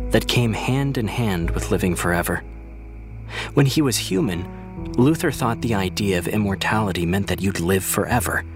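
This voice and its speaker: A man performing solemn, measured narration of a brooding vampire story for a fiction podcast. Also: lowish voice, close to an everyday voice.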